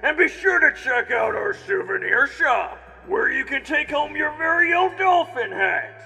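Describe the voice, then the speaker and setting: Pompous mad scientist showman: high, forceful, gravelly, booming in long reverb. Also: lively intonation, unhurried, full character voice. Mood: grandly welcoming. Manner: booming announcer delivery. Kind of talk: park announcement in cartoon fandub. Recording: long echoing announcement reverb.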